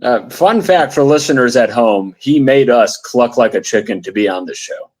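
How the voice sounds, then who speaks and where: A man's voice: mid-range voice, expressive, normal speed, darkish tone. A man bantering casually as a podcast panelist, with a playful, irreverent manner.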